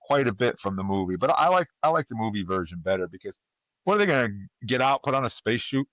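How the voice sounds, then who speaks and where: A man's voice: mid-range voice, big pitch swings, fast, a bit bright, no room sound. A man hosting a casual trivia chat, talking conversationally to his panel over a slightly dull microphone. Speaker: podcast host running a trivia quiz.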